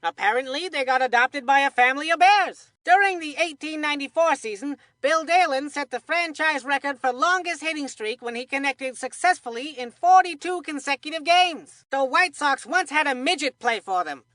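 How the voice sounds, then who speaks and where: Chatty folksy cartoon sports commentator, pretty high and bright, close dry sound, kids game trivia stuff. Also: female voice, moderate pace, few pauses, dry recording. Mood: folksy, amused.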